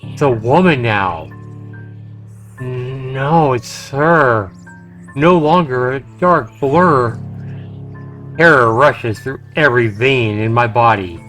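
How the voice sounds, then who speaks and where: A man livestreaming a game, commenting and reading visual novel text aloud in an expressive, dramatic way. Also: medium pitch, takes it slowly, long pauses, faint music behind. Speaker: game streamer.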